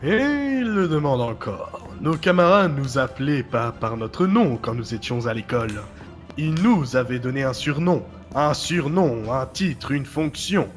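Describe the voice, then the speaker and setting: A man acting a mocking, menacing director in an audio drama, with deliberate, theatrical taunts. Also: mid-range voice, animated, close to an everyday voice. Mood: taunting, accusing. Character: mocking, menacing male director, hero's forgotten other self. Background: music.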